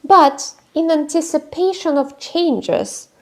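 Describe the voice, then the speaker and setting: A woman's voice: high voice, lively intonation, slow. A woman YouTuber giving casual, frank commentary, hesitating often with fillers and restarts.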